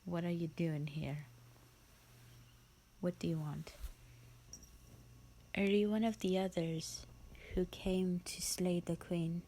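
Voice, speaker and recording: A woman playing a bored, haughty, ancient female vampire in a roleplay audio, with a breathy, half-whispered, condescending drawl. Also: lowish voice, steady tone, slow, long pauses, dark tone, slight background noise. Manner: condescending, languid, theatrical drawl.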